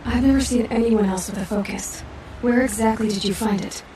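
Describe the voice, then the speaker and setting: An actress playing a curious, determined young huntress in a video game, speaking directly and probingly. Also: female voice, a little high, fairly quick, dark tone, a little hiss or hum, natural voice. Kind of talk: video game cutscene acting. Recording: echoey, distant, music behind.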